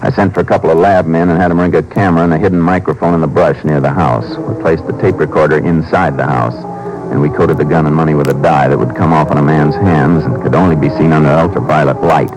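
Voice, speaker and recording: An actor playing a calm, steady Texas Ranger with a terse, measured, authoritative way of talking in an old-time radio drama. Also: male voice, extremely low pitch, music in the background. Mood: matter-of-fact. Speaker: narrator and lead actor. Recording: noisy vintage radio drama recording.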